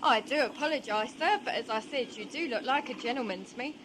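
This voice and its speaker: A woman's voice: a little high, brisk, noisy background, natural voice. A woman playing a cheeky, wheedling older street hawker woman in a historical audio drama, mock-deferential and saucy.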